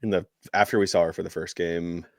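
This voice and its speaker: A man co-hosting a podcast, chatting casually about soccer, haltingly, as if thinking aloud. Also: sits low, flat delivery, dry recording, studio quality. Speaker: podcast co-host.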